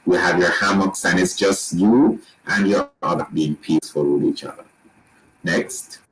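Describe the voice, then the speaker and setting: A man with a Jamaican accent, walking listeners through resort rooms and restaurants in a relaxed sales pitch with frequent restarts. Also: normal speed, poor audio. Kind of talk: presenting a slideshow tour.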